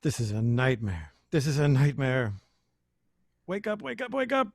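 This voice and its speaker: A man acting a panicky, pessimistic young musician in a script read. His voice is mid-pitched and full of creak. He frets and repeats himself. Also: huge pitch swings, moderate pace, full character voice. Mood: panicked. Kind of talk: screenplay table read.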